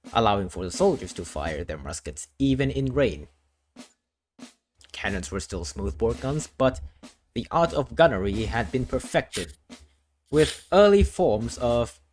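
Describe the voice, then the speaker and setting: A man's voice: fairly low pitch, slight background noise. A man from Thailand, a streamer explaining his worldbuilding lore in a casual, explanatory way, often restarting phrases.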